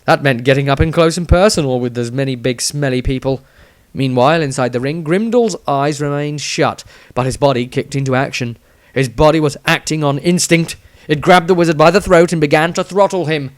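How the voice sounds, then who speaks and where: A man's voice: a little high, fairly quick, no room sound, studio quality. A man reading a scripted fantasy adventure aloud as a storyteller, with dramatic emphasis. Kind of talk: scripted audiobook narration.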